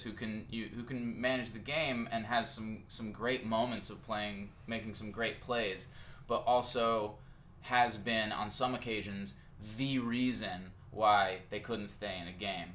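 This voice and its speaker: A man co-hosting a casual sports talk show. He stumbles and restarts often, then builds a steady argument. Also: fairly low pitch, a little hiss or hum. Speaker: podcast co-host.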